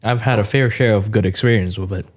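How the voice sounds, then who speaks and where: Giggly young man with a low voice. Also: fast. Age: early twenties. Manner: giggly, chatty, storytelling. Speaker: podcast co-host. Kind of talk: casual podcast chat.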